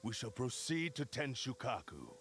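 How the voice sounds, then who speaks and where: An actor playing a proud, bitter cursed sword spirit in a video game, speaking formally with scorn and menace. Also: male voice, mid-range voice, very animated, moderate pace, a bit bright. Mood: haughty. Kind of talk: dramatic video game voice acting.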